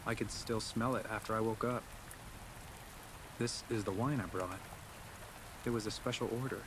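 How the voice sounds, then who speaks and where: A man's voice: on the low side, steady tone, normal speed, natural voice. A man playing an anxious, introspective man in a game, speaking confidingly in a reflective first-person monologue. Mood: wistful, fond. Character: Adam, anxious introspective man narrating his troubled life. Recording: clear rain noise behind.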